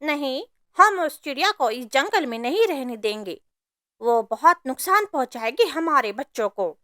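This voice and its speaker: A woman's voice: high-pitched, animated, normal speed, sharp tone, no echo, studio quality, voice pushed up. A woman voicing a callous, meddling female crow in a cartoon story, with a pushy, insinuating way of talking. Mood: alarmed. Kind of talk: Hindi cartoon story voice acting.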